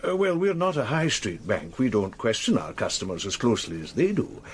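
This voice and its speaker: An actor playing a prim, talkative bank manager in a radio drama, explaining things in a measured, businesslike way. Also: male voice, on the low side, very animated, slight background noise. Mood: earnest, explanatory.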